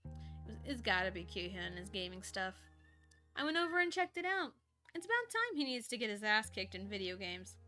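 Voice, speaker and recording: A woman's voice: mid-range voice, very animated, fairly quick, pushed and bright, faint music behind. A woman casually reading a first-person story aloud on a live stream, informally, with ad-libbed asides and lightly voiced dialogue.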